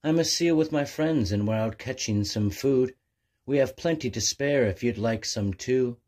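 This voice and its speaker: A man's voice: medium pitch, moderate pace, darkish tone, pitched above the performer's own voice, close to an everyday voice. A man voicing a friendly, generous male seal who speaks encouragingly in a children's storybook.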